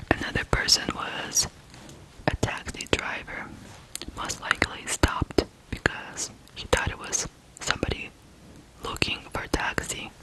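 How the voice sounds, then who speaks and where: An ASMR host from Ukraine telling a true crime story in a hushed, deliberate whisper. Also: poor audio.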